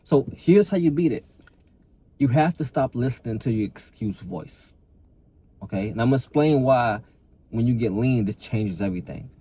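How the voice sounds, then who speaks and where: A man's voice: medium pitch, expressive, moderate pace, dark tone, husky. A young adult man talking casually to camera as a fitness coach, earnest and motivating, often repeating and restarting words.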